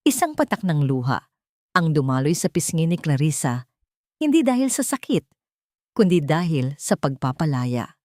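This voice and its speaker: A Filipino woman narrates an audio drama in a fairly low voice. Her delivery is measured and solemn, with a sentimental storyteller's phrasing. The recording is dry and close, with little room sound. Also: a little mellow, no echo.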